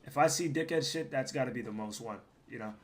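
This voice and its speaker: A young man, hoarse from losing his voice, giving casual, profane commentary over his gameplay and rambling between plays, sometimes breaking into loud yells. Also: medium pitch, fast talker. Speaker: gaming streamer.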